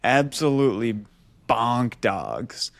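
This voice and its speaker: A man's voice: mid-range voice, very animated, takes it slowly, vocal fry, no echo. A man co-hosting a podcast, telling an anecdote casually and animatedly, repeating phrases for emphasis. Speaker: podcast co-host.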